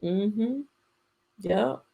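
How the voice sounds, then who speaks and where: Animated American woman chatting on a podcast. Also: mid-range voice, extremely slow, gravelly. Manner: animated storytelling with frequent profanity. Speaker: podcast co-host. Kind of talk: casual movie-podcast chat.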